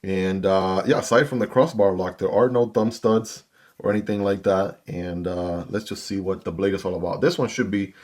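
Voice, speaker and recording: A man's voice: deep voice, steady tone, normal speed, darkish tone. A man hosting his own gear channel, chatting casually to viewers as he unboxes and reviews a knife. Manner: chatty, talks straight to viewers.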